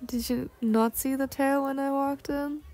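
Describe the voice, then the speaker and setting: A woman's voice: sits high, steady tone, measured pace, a little mellow, soft background music. A young woman voicing a polite, bookish, insecure cougar-girl on a date in an ASMR roleplay, speaking formally with flustered hesitations. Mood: puzzled.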